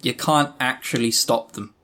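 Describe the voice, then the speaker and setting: A man's voice: medium pitch, moderate pace, sharp tone. A man co-hosting a podcast in casual chat, joking and teasing with a mock-serious manner. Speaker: podcast co-host.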